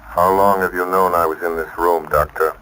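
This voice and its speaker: An actor playing an invisible, stern and fearless avenger in a 1930s radio drama, commanding and declamatory in clipped lines. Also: male voice, sits low, steady tone, moderate pace, sharp tone. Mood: stern, accusing. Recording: noisy old 1930s radio recording.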